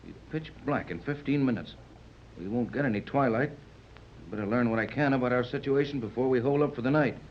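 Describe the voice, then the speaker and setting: A man playing a steady, protective sea captain and inventor in a 1930s radio drama, commanding and reassuring. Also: medium pitch, fast talker, natural voice. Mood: calm, firm. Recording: muffled, noisy 1930s radio recording.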